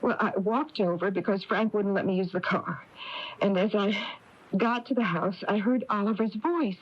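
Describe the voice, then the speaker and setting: A woman's voice: mid-range voice, moderate pace, husky, lightly acted. An actress playing an uneasy small-town woman recounting a strange visit in a 1980s radio drama. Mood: uneasy, earnest. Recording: dull lo-fi taped radio broadcast.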